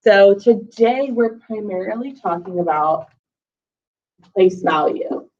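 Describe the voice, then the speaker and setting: A woman's voice: medium pitch, lively intonation, takes it slowly, darkish tone. A woman teaching a recorded math lesson to first graders, talking patiently and counting aloud, her voice slightly scratchy from allergies. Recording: lo-fi, voice a bit far from the mic.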